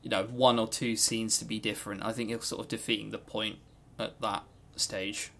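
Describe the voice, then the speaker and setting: Gravelly, joking man chatting on a podcast. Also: on the low side, little pitch movement, moderate pace, sharp tone, slight background noise. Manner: sweary, jokey, rambling. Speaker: podcast co-host. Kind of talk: casual unscripted visual novel review chat.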